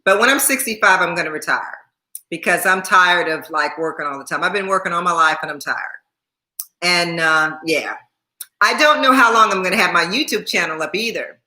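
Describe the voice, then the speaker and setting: A woman's voice: on the low side, a little fast, pushed and bright, echoey room. A woman in her sixties chatting casually on a livestream, repeating herself and laughing often while thanking viewers by name. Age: 60s. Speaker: YouTube host.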